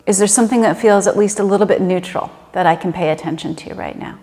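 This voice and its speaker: Woman, meditation guide, medium pitch, gentle conversational instruction, unhurried delivery, lingering reverb. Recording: reverberant room with long echo.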